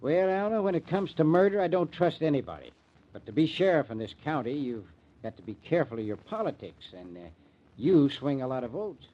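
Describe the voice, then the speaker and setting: An actor playing a blunt, suspicious small-town American sheriff with folksy, ungrammatical speech in an old-time radio drama. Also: male voice, fairly high pitch, very animated, fairly quick, dark tone. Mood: wary, grudging. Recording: dull, muffled old radio recording.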